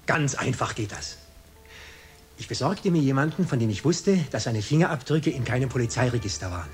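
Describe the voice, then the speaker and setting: A man's voice: medium pitch, lively intonation, fairly quick, natural voice. An actor playing a proud fingerprint expert in a radio crime drama, explaining his craft precisely and boastfully. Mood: proud, eager. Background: music.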